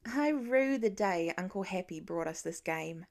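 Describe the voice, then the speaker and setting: A woman's voice: lowish voice, expressive, unhurried, darkish tone. Woman voicing a dramatic, sarcastic teenage girl. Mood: sardonic. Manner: dramatic, sardonic.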